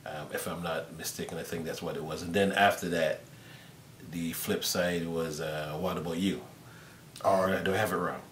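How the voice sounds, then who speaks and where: Deep-voiced man interviewing. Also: fairly even intonation, normal speed, a bit bright. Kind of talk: casual conversational interview. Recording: roomy sound with faint rumble.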